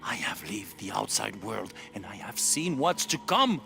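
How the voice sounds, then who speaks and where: A man's voice: fairly low pitch, big pitch swings, normal speed, gravelly, pushed and bright, faint music behind, full character voice. An actor playing an ambitious male ruler of a hidden city, intense and commanding in a video game cutscene. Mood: persuasive. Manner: intense, pleading then commanding.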